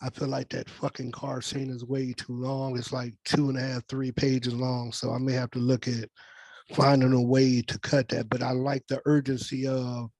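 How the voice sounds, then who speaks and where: A man's voice: medium pitch, completely flat delivery, normal speed, darkish tone, no room sound. An American man leading a script discussion, talking casually and conversationally and often checking that listeners follow. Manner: conversational, often checks with "you know what I'm saying". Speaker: scriptwriter leading a virtual table read. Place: indoors on a video call.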